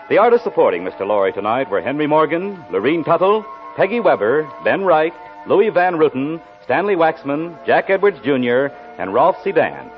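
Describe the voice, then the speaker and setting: An American man working as a 1940s radio announcer, reading a script in a formal, measured delivery. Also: a little high. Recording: dull 1940s radio recording, audience noise.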